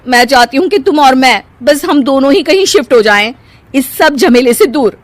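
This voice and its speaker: A woman's voice: high-pitched, expressive, moderate pace, no echo, pitched above the performer's own voice. A woman voicing a spiteful, demanding young daughter-in-law in a cartoon family drama, bossy and whining. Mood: demanding, bitter.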